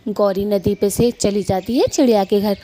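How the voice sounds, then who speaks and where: A woman narrating a cartoon story in a plain, steady way. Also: medium pitch, normal speed, soft background music.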